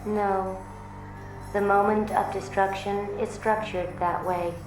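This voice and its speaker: An echoing, slow-spoken alien guide played by a woman, serene and detached. The delivery is deadpan and unemotional with barely any movement in pitch, as in a radio play. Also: lightly acted. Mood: detached calm. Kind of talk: radio drama acting. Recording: echoing voice over prominent music.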